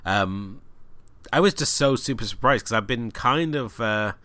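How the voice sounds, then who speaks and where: A man's voice: mid-range voice, unhurried, fairly bright tone, no echo. A man talking casually and with enthusiasm about a film, in a conversational review. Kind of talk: casual chat.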